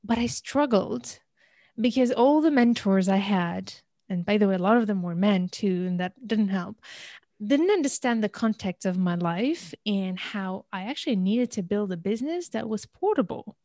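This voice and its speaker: A woman's voice: medium pitch, lively intonation, moderate pace, mellow, no echo, clean studio sound. A woman in her thirties from Algeria, raised in many countries, telling her story conversationally and with animation as an interview guest. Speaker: interview guest, business consultant.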